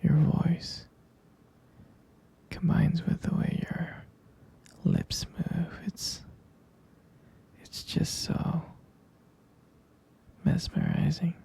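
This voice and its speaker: A man playing an adoring, tender vampire lover in an intimate ASMR roleplay, whispering breathily and haltingly with gravelly fry. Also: on the high side, huge pitch swings, full character voice. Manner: slow, halting, softly repeating himself. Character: adoring, tender male vampire lover soothing his sweetheart.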